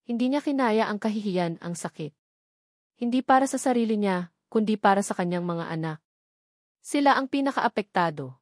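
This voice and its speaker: A woman's voice: mid-range voice, lively intonation, normal speed, long pauses, dry recording, clean studio sound. A woman narrating a scripted, dramatic romance story as a storyteller.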